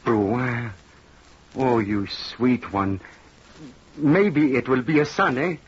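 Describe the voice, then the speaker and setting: A man's voice: medium pitch, takes it slowly, slightly rough. An actor playing a proud, doting fisherman husband and father with a hearty, indulgent manner in an old radio drama. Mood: delighted. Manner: hearty, indulgent, questioning "eh" tags. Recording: noisy muffled old radio recording.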